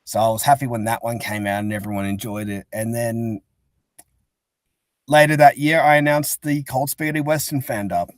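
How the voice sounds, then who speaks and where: A man's voice: medium pitch, fairly even intonation, normal speed, no echo. A man chatting casually as an interview guest, talking in a rambling, chatty way. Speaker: interview guest, fan-dub creator. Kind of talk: casual chat.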